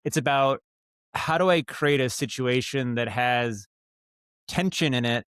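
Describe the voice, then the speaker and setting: A man's voice: mid-range voice, a bit bright, clear, resonant tone, no room sound. Thoughtful man explaining, close dry sound. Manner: halting, thoughtful, explanatory with many self-corrections. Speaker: guest, audio drama writer and director. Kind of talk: casual podcast interview talk.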